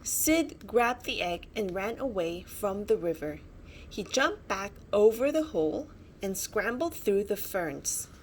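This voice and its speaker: A woman narrating a children's bedtime story as a storybook reader, in an animated style. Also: mid-range voice, slow, a little hiss or hum.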